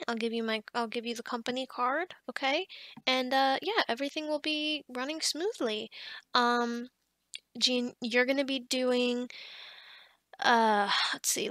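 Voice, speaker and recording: A woman's voice: sits high, a bit bright, no room sound. A woman playing a kindly, scatterbrained office boss in an ASMR roleplay, chatting in a rambling, encouraging way. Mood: flustered, friendly. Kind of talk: ASMR roleplay monologue, casual pep talk.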